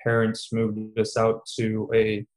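A man's voice: fairly low pitch, monotone, slow. A man in his twenties, a student guest in a casual interview, talking with many fillers and easy laughs. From Serbia, raised in Qatar. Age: 20s.

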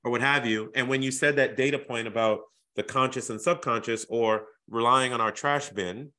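A man from Boston hosting a podcast, with a bright and forceful voice. He chats casually and halts and repeats words while he works out what to ask. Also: medium pitch, fairly even intonation. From Boston, USA. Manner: halting, repeats words while searching for phrasing. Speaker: podcast host. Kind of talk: casual interview chat.

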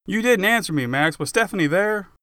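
Man playing exasperated cop friend, high and forceful. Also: expressive, brisk, clear, resonant tone, dry recording, studio quality. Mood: agitated, insistent. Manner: emphatic, demanding, profane. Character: furious, exasperated male cop friend, bluntly confrontational.

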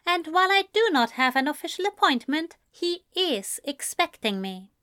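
A woman's voice: high-pitched, big pitch swings, normal speed, bright, forceful tone, no room sound, clean studio sound, pitched above the performer's own voice, heavily acted. A woman voicing a polite, reserved young man who speaks formally. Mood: earnest.

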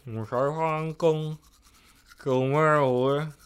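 A man's voice: fairly high pitch, steady tone, takes it slowly, a little hiss or hum. An actor playing an easygoing man who lives alone, speaking in a casual, wry manner in a radio drama. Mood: lazy, grumbling. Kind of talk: Cantonese radio drama acting and narration.

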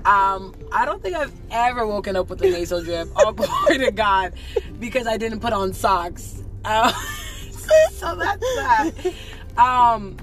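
A young woman talks in a playful, joking way, her voice still hoarse. She is chatting from inside a car. Faint music plays behind her. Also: a little high, very animated, a little slow, rough recording. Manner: playful, laughing, churchy slang. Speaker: vlog co-host. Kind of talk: casual chat in a car. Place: parked car in a drive-thru line.

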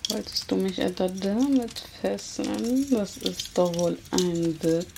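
A woman playing a menacing, mocking female vampire who teases a captive in an ASMR roleplay, sardonic and drawn-out, at times slipping into whispers. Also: mid-range voice, vocal fry. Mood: mocking, playful menace. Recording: loud noise and crackle over voice.

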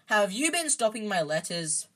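A woman voicing an earnest, exasperated young boy in a storybook, speaking urgently and haltingly. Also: medium pitch, sing-song, voice pushed up. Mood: suspicious. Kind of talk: storybook character voice.

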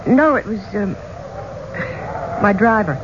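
An actress playing an inquisitive, polite and poised woman visitor, expressive and curious, in a 1970s radio drama. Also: mid-range voice, slow pace. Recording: muffled, hissy 1970s radio recording.

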